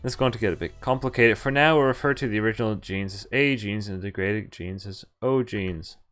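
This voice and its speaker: A man's voice: on the low side, brisk, fairly bright tone. A man streaming a visual novel, reading it aloud with casual, amused asides. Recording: background game music behind voice. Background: game music.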